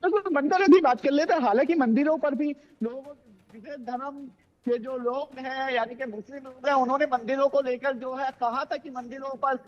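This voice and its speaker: A man's voice: extremely high pitch, steady tone, normal speed, long reverb tail, highs cut off. A man reporting live as a news correspondent, unscripted, wordy and repetitive with stock filler phrases, over a broadcast link.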